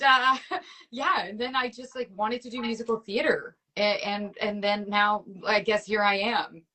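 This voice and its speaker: A native French-speaking woman from Canada, in her thirties, talks in a bright, slightly high voice. Her casual interview chat runs loose and friendly, filled with "like" and easy laughter. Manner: chatty, peppered with "like", laughs often. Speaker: actress guest.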